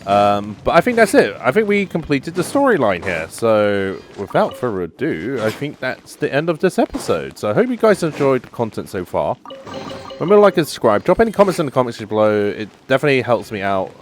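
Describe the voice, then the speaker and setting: A man's voice: mid-range voice, wildly swooping pitch, fairly quick. A man giving casual, offhand gameplay commentary as a video host, with game music behind him. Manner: offhand reactions, muttering to himself. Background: video game music and sounds.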